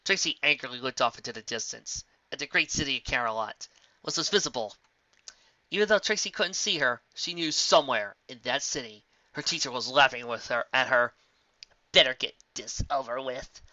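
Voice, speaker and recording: Expressive, bright-voiced woman reading a story. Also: a bass voice, fairly quick, no echo, highs cut off. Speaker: story narrator.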